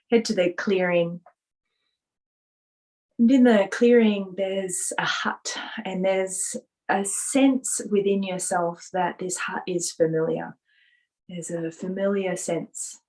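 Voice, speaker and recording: Very slow, gentle woman guiding meditation. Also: fairly low pitch, low-quality recording. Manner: gentle, unhurried, soothing, with drawn-out pauses. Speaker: meditation guide. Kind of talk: guided meditation, soft spoken visualisation.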